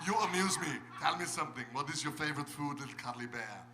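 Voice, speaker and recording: A man's voice: fairly high pitch, animated, fast talker, echoey room, close to an everyday voice. A man playing a haughty thunder-god warrior in a comic live radio play. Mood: haughty, mocking. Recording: live convention stage, loud music behind.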